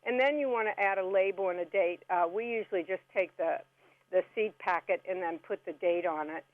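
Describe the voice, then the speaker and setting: A woman's voice: on the low side, a little fast, no echo. A woman giving casual, chatty step-by-step instructions as a radio guest, in a folksy way. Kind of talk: casual step-by-step how-to chat. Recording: band-limited phone-like radio call.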